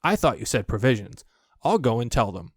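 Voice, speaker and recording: A man's voice: mid-range voice, big pitch swings, brisk, no echo, studio quality. A man voicing a naive, good-natured toy bear in a storybook, speaking in an eager, wondering way. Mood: cheerful. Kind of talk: storybook character voice.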